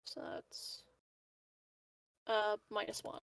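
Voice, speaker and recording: A woman's voice: extremely slow, a little hiss or hum, rough recording. A woman chatting casually as a player in a tabletop roleplaying game. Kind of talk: casual chat at a game session.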